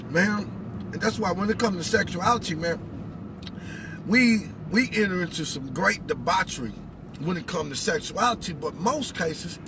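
High-pitched American man teaching informally. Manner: emphatic, instructive, checks listener with questions. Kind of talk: informal spiritual talk. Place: inside a vehicle cab. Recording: very noisy vehicle, road noise. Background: car and outdoor noise.

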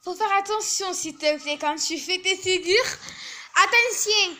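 A woman's voice: very high-pitched, a little slow, noisy background. A young girl filming a home video on a phone, chatting casually in a hesitant way with many fillers, in a small living room. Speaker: camera operator. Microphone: phone camera held by speaker.

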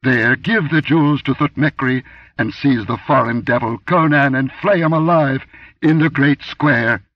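A man reading aloud, voicing a commanding, inhuman goddess who booms warnings down from on high. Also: measured pace, dry recording, recorded from a distance, muffled recording. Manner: booming, thundering pronouncements.

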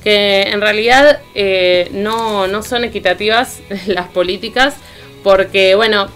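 A woman's voice: mid-range voice, slow pace, fairly bright tone. A woman with an Argentine accent, speaking as a columnist in a radio discussion, reading out and commenting. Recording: music playing behind the voice. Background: music.

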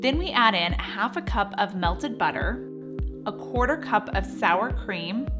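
A woman's voice: mid-range voice, lively intonation, unhurried, over loud music. A woman in her thirties hosting a cooking show, narrating recipe steps in an upbeat voice with playful asides, recorded in a home kitchen. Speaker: cooking channel host.

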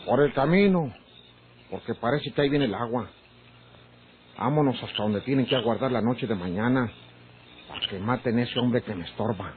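An actor playing a suspicious, domineering countryman hiring hitmen in a gruff radio drama. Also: mid-range voice, moderate pace, long pauses, close to an everyday voice. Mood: commanding. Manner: gruff, challenging, accusatory. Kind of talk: Mexican rural radio drama acting. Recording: noisy, narrow-band old radio recording.

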